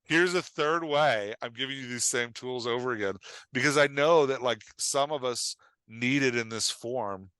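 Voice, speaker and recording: Bright-voiced man, dry close sound. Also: mid-range voice, normal speed, smooth, no room sound, poor audio. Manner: rambling, peppered with "like" and reported quotes. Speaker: podcast guest and writing coach. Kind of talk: casual conversation, explaining and joking.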